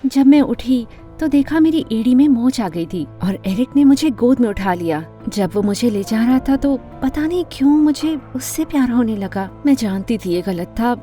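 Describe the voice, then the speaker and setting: A woman plays an earnest teenage girl narrating an animated drama. Her voice is high and soft-edged, with very lively swings in pitch. She is expressive and emotional, with music under the voice. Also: close to an everyday voice. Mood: confiding. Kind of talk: first-person animated story narration and dialogue.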